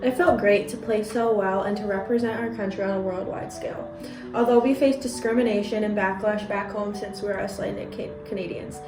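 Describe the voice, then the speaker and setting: A woman's voice: medium pitch, natural voice. A young woman playing a proud male hockey team captain in a scripted mock interview, earnestly reading prepared answers. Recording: roomy echo, far mic, music behind.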